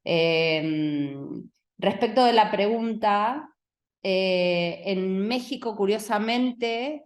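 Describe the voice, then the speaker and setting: A woman director, answering on a video call, thoughtful and searching for words with restarts. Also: mid-range voice. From Mexico. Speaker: film director.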